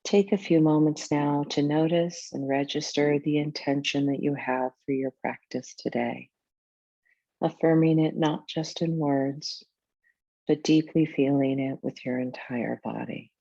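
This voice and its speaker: A woman teaching yoga nidra, narrating a guided meditation in a soothing, deliberate way with measured pauses. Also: low voice, steady tone, gravelly, warm tone, no room sound, muffled recording.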